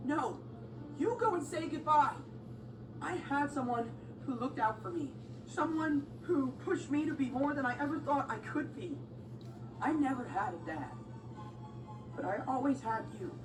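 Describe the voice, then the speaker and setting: A woman's voice: sits high, animated, unhurried, pauses often, voice pushed up. A woman voicing an earnest, emotional teenage younger brother in a storybook, speaking emphatically. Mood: earnest, heartfelt. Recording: echoey, distant, music bed.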